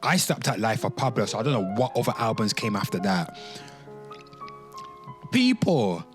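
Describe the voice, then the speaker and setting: A man's voice: on the low side, very animated, normal speed, music in the background. A man giving informal, emphatic livestream commentary, repeating his phrases and speaking straight to his listeners, with occasional swearing. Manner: emphatic, repetitive, swearing, addressing listeners directly. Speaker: streamer, commentator giving opinions. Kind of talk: informal livestream commentary with some reading aloud.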